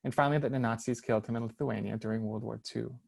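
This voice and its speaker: A man's voice: medium pitch, steady tone, fast talker, vocal fry. A man presenting a prepared research paper at an online seminar, reading carefully with small hesitations over foreign names. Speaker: academic lecturer. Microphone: online seminar, computer mic.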